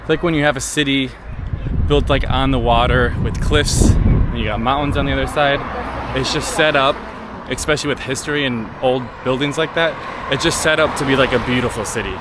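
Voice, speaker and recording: A man's voice: medium pitch, fairly even intonation, brisk. An American man chatting casually and unscripted to the camera as a travel vlogger, informal and rambling, full of slang. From United States. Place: outdoors walking through a city. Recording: clearly noisy outdoor city street with traffic.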